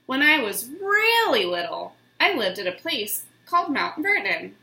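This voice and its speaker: A woman giving an expressive, theatrical dramatic reading of a children's book. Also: high voice, unhurried. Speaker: storybook reader. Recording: roomy, echoing room sound.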